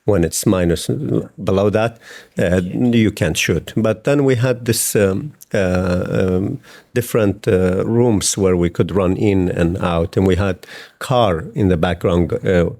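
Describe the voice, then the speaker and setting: Low, dark-voiced hesitant man. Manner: hesitant, many fillers and restarts. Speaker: film director. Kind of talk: interview answers.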